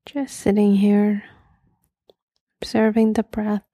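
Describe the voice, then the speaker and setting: A woman leads a guided meditation in a mellow, relaxed voice. She speaks very slowly and gently, leaving long pauses, and is soft and at times breathy. The sound is dry and close. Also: mid-range voice, dry recording.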